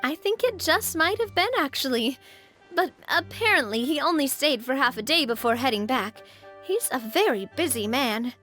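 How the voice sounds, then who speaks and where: Brief: friendly young game heroine, chatty and curious, high pitch, very expressive melody. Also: female voice, moderate pace, soft background music. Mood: thoughtful. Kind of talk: English video game voice acting.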